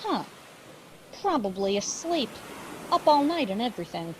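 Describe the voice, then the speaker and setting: Woman acting high, animated, folksy take-charge gal. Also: normal speed, a little hiss or hum, rough recording. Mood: casual. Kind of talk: radio drama acting.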